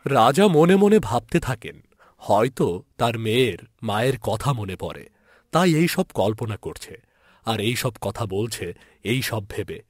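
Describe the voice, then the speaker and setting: A man's voice: mid-range voice, sing-song, unhurried, no room sound, clean studio sound. A man narrating a scripted fairy tale as a storyteller.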